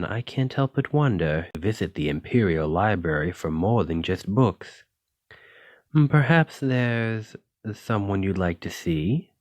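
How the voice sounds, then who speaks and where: A man's voice: fairly low pitch, a little mellow, no echo, studio quality. A man playing a flirty, possessive dragon-blooded librarian in an intimate ASMR roleplay, with a teasing, coy way of drawing out his phrases. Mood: flirty, welcoming.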